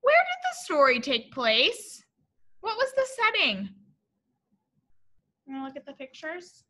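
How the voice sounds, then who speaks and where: High, bright young woman reading to children. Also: unhurried. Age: young adult. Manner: expressive, exaggerated, questioning and addressing young listeners. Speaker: teacher reading a picture book to children. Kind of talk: animated children's story read-aloud. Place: classroom, indoors.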